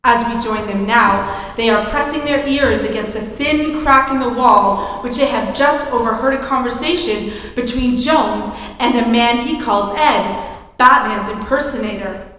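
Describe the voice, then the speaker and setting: Woman, radio drama narrator, higher pitch, scripted, big echo, faint background noise. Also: a little slow, a bit bright. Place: theatre stage, live performance. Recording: very echoey hall, some noise.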